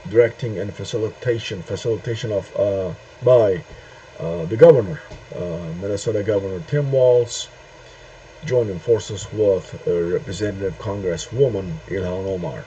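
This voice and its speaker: A middle-aged man from Turkey, speaking a halting opinion monologue to camera with many pauses and "uh"s. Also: fairly low pitch, dark tone. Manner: halting, searching for words with frequent "uh" and "um" pauses. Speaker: video host. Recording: dull lo-fi sound with faint noise.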